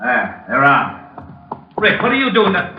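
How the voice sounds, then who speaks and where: An actor playing a dry, teasing police officer, a friend of the hero, in a 1940s radio detective show. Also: male voice, medium pitch, wildly swooping pitch, unhurried. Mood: amused, sardonic. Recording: echoey, noisy, muffled old radio recording.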